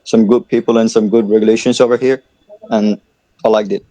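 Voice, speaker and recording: Guy on a voice call, mellow and dry, keeps repeating himself. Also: on the low side, little pitch movement, a little fast, dry recording, poor audio. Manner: repeats words while advising. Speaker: caller giving advice. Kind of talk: casual chat on a voice call. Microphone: online voice call.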